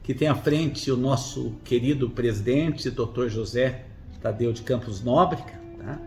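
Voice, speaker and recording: A man's voice: mid-range voice, a little slow, mellow, soft background music. A man, interviewing casually in an effusive, coaxing manner. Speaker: interviewer, show host.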